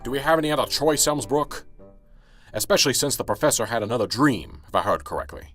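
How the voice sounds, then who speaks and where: A man's voice: mid-range voice, expressive, fast, fairly bright tone, soft background music. A man playing an earnest, exasperated police sergeant in an amateur radio drama, firm and reproving.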